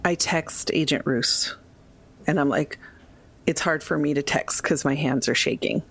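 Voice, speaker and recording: A woman takes part as a player in a tabletop roleplaying game. Her voice is gravelly with plenty of creak, and she talks in a casual, conversational way. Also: deep voice, unhurried.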